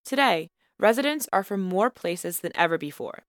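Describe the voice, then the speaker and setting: Woman, podcast host, scripted narration, dry room, measured delivery. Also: medium pitch, animated, no echo. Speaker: podcast host and student reporter.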